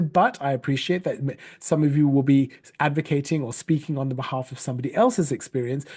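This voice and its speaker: A man's voice: on the high side, a little mellow, slightly rough. A man from Sri Lanka giving a patient, explanatory storytelling lesson, with frequent small restarts. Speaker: storytelling coach. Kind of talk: online teaching talk.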